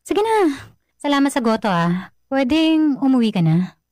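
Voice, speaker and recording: A woman's voice: sits high, big pitch swings, moderate pace, darkish tone, no echo. An actress playing an irritated young girlfriend in a radio drama, curt and dismissive. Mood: annoyed. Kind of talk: Filipino radio drama acting. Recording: dry studio voice with music bed.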